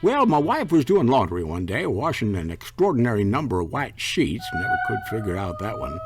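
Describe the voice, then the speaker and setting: A man's voice: medium pitch, wildly swooping pitch, brisk. An actor playing a naive, folksy Mississippi country man in a campy radio comedy, with a Southern accent and a stammering, overeager manner. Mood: folksy, rambling. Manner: stammering, chuckling, overeager, rambling. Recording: music behind the voice.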